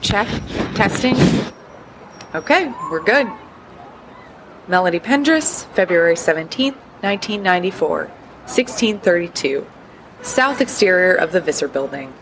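A woman's voice: fairly low pitch, animated, measured pace, pauses often, noisy background. An actress playing a curious, careful female building surveyor in an audio drama, inquisitive and polite. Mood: matter-of-fact. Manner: careful and inquisitive, growing uneasy.

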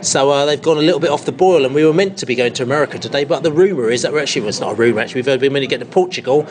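A man's voice: medium pitch, racing, a little hiss or hum. A man with a London English accent hosting a casual, jokey podcast chat in a pub. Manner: jokey, bantering. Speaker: podcast host. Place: busy pub.

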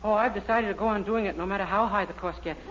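A man's voice: sits high, fast, a loud crowd, natural voice. An actor playing a naive, dim but self-assured young man in a 1940s radio comedy, on a muffled, very noisy recording. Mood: innocent, self-satisfied. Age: young adult. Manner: guileless, simple-minded.

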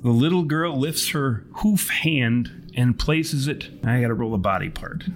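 A man's voice: mid-range voice, measured pace. Theatrical male game master, noisy recording. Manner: florid, theatrical phrasing. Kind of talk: dramatic tabletop game narration. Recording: noticeable background noise.

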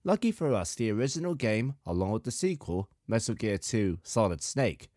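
A man in his thirties, slightly hoarse with a sore throat, hosting a podcast in a measured, articulate, scripted narration style. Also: fairly low pitch, big pitch swings, darkish tone, dry recording, studio quality. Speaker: podcast host, composer.

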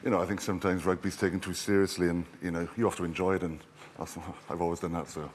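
A man's voice: deep voice, flat delivery, quick pace, slight background noise. A man in his thirties from Wales, a rugby player guest chatting casually and rambling with plenty of fillers. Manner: easygoing, rambling with many fillers. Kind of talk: casual interview chat.

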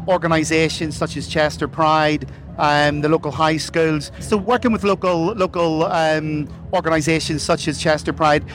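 An Irish man in middle age speaks with a fairly high voice. He sounds earnest and careful, padding his answers with fillers and corporate phrases. He is being interviewed outdoors at a busy festival. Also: fairly bright tone. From Ireland. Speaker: corporate sponsor representative.

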